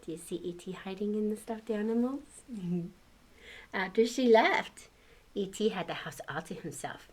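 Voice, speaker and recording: Older woman reading aloud, slow and expressive. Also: mid-range voice, slightly rough. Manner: exclaims and chats about the pictures, asks questions. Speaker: grandmother reading a picture book to children. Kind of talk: expressive read-aloud storytelling with asides to young listeners.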